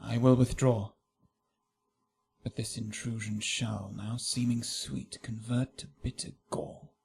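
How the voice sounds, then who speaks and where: A man's voice: medium pitch, level tone, slow, vocal fry, a little mellow. An actor playing a vengeful, hot-headed young nobleman, seething with indignation in a Shakespearean radio drama.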